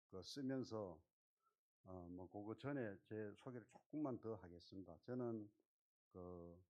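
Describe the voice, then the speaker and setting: Soft-spoken older church elder, lo-fi. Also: male voice, lowish voice. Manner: soft-spoken, halting, modest. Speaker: guest lay speaker, church elder. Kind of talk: testimony-style talk from the pulpit. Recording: dull lo-fi church recording.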